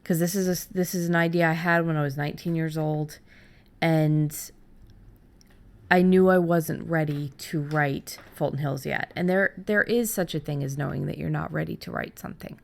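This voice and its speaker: A middle-aged woman host talking casually and candidly about her own experience, haltingly, as if thinking aloud. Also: sits low, steady tone, vocal fry. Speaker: podcast host and fiction writer. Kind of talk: casual conversational storytelling.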